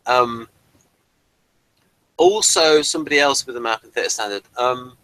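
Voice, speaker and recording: A man's voice: mid-range voice, normal speed, a bit bright, no echo, poor audio. A man hosting a review discussion, talking in a hesitant, digressive way as he explains things. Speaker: host of a TV review show.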